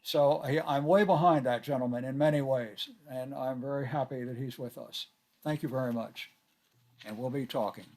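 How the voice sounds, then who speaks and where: An older man chairing a board meeting on a video call, courteous and halting with many filler sounds. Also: medium pitch, darkish tone.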